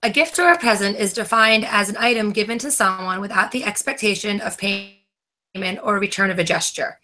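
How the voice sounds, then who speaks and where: A woman's voice: medium pitch, moderate pace, sharp tone, rough recording. A young woman from New Jersey reading a prepared debate presentation, carefully listing items one by one. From New Jersey, USA. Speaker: debate participant.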